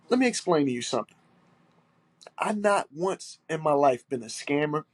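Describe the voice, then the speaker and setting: A man with a blocked, stuffy nose holds forth alone on his podcast. He sounds aggrieved and hammers each point through repetition, with rough language thrown in. His mid-pitched voice sits close and dry, with no room sound. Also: a little mellow, dry recording. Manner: aggrieved, emphatic, repeating himself, swearing. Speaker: podcast host. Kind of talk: solo monologue podcast.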